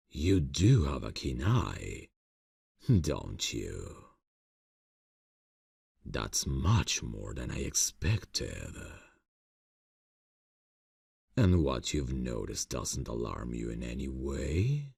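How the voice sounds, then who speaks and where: A man voicing a suave, sinister vampire boss in an ASMR fantasy roleplay, speaking slowly and formally in a gravelly, husky voice with silky, courteous menace. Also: very deep voice, a little mellow, no room sound, clean studio sound, full character voice. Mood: intrigued, conspiratorial. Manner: slow, silky, formal, condescending endearments.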